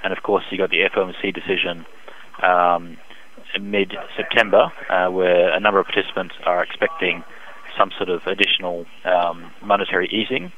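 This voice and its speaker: A man speaking as an expert economist in a phone interview, giving measured, hesitant, technical market analysis. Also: low voice, little pitch movement, a little hiss or hum. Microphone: telephone. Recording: dull band-limited phone line.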